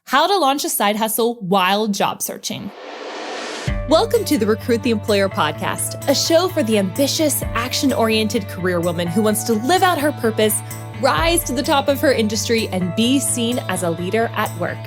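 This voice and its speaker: A woman hosting a career coaching podcast, upbeat and enthusiastic, talking in quick bursts. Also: fairly high pitch, sing-song, over music.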